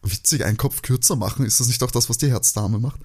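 A man chatting casually as a podcast co-host, joking and rambling as he thinks out loud. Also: medium pitch, fast, breathy edge. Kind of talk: casual chat, guessing along with an audio drama.

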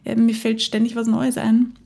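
A woman's voice: fairly high pitch, monotone, moderate pace, vocal fry, dark tone. A woman hosting her own podcast, talking alone in a chatty, enthusiastic way and laughing often. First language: German. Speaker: podcast host. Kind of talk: casual solo podcast monologue.

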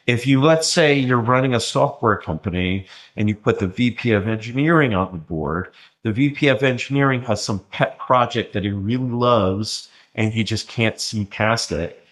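A man explaining in a lecturing way in conversation, often pausing with ums and restarting his sentences. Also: fairly low pitch, fairly bright tone. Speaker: interview guest.

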